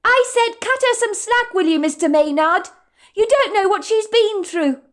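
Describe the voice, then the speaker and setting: A woman's voice: lively intonation, moderate pace. A woman voicing an earnest young waitress in an audiobook story, speaking in a raised, emotional, pleading voice. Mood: defiant. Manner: high, pleading, tearful delivery.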